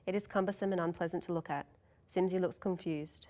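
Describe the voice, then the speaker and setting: A woman's voice: on the low side, steady tone, brisk, dark tone. A woman narrator reading stage directions and scene descriptions at a table read.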